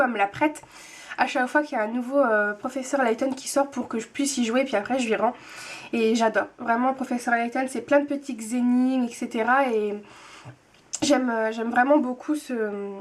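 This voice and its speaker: A young woman in her twenties with a high voice talks casually to her viewers. She rambles a bit, trips over her words and restarts often, filling pauses as she goes. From France. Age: 20s. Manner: chatty, hesitant, many fillers and restarts. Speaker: booktube vlogger, nurse. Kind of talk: casual vlog answering tag questions.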